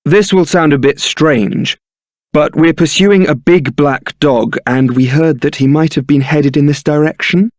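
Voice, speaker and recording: A man's voice: on the high side, measured pace, no echo, lightly acted. A man playing a suave, sardonic young templar knight and roguish ladies' man in a fantasy video game, with a dry, theatrical delivery. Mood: casual, earnest.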